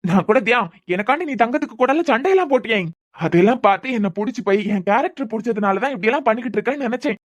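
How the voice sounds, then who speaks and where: A woman's voice: on the high side, lively intonation, rapid-fire. A woman voicing a bitter, hurt young husband, sarcastic and repeating words in anger. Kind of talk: amateur audio drama.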